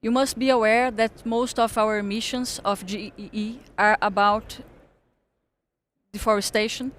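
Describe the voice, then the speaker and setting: A Brazilian woman, a native Portuguese speaker, giving a partly read speech in English with halting delivery, repeating and correcting words. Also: fairly high pitch, fairly even intonation, fairly bright tone, a little hiss or hum. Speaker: panel speaker, political scientist.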